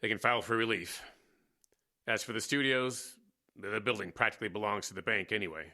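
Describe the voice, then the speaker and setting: A man acting in a radio drama as a gruff, hard-drinking, cynical war veteran who manages a station, blunt and sardonic, with an American accent. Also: fairly low pitch, fairly quick, bright, forceful tone. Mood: grim, resigned. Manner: blunt, sardonic, stumbling over words.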